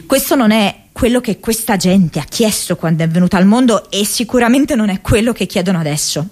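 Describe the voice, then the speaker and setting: Actress as an impassioned, halting young woman activist. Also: mid-range voice, animated, a little mellow, lightly acted. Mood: frightened, weary. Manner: impassioned, halting, repeats and breaks off words. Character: earnest, distressed young American woman activist writing to her mother. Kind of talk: dramatic radio monologue from diaries and letters.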